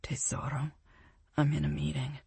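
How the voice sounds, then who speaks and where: A woman voicing a blunt businessman who talks in a hushed, gruff way. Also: fairly low pitch, measured pace, no room sound. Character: brusque businessman, blunt and profane.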